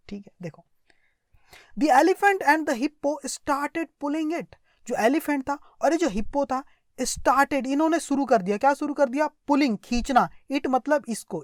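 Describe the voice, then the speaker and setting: Woman, Indian accent, high voice, dry close sound, story lesson, explanatory, repetitive, slow read-out sentences. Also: a little mellow, dry recording. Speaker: English teacher. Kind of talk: line-by-line story lesson with translation and word explanations.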